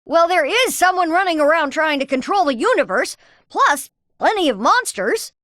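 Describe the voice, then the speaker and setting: A woman's voice: very high voice, very animated, normal speed, a bit bright, dry recording, studio quality, exaggerated voice. A woman playing an upbeat, confident boy inventor in a video game, talking in precocious, wordy scientific phrases. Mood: wry, reassuring. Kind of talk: animated video game voice lines.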